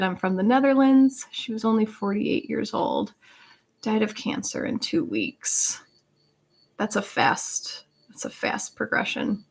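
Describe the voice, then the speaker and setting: A woman's voice: medium pitch, vocal fry, slightly rough. A woman hosting a live spiritual card reading, speaking conversationally and earnestly as she guides listeners and reads out messages. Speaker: live tarot reader and host. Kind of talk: casual livestream chat with card reading.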